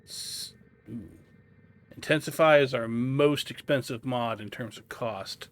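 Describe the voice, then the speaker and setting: A man's voice: medium pitch, unhurried. A man hosting a video game guide, giving methodical, instructional tutorial commentary.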